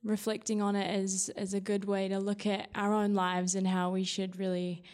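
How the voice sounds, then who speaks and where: A woman's voice: mid-range voice, monotone, moderate pace, darkish tone. A woman from New Zealand with a New Zealand accent, chatting casually as an interview guest. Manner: chatty, with frequent restarts and light laughs.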